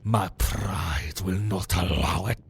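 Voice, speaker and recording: A man playing a proud, contemptuous imp of pride in an ASMR fantasy roleplay, gravelly and theatrically scornful. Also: low voice, slow, heavily acted. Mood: defeated, defiant. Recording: noisy background ambience.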